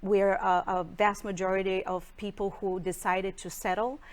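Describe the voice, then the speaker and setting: A woman's voice: mid-range voice, flat delivery, moderate pace. Bulgarian-accented woman, candidate on live TV, earnest, mid-range voice. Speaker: political candidate. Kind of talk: televised candidate interview.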